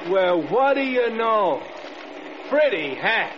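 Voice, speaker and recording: High-pitched excitable American airman. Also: slow, exaggerated voice. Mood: awed, excited. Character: excitable, awed young American airman on the ground. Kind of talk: classic American radio drama acting. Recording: muffled, noisy old radio recording.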